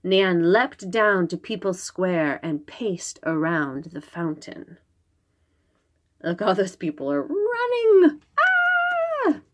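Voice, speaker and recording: A woman reading a children's story aloud, expressive and dramatic, drawing out her words. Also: mid-range voice, slow. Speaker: storybook reader.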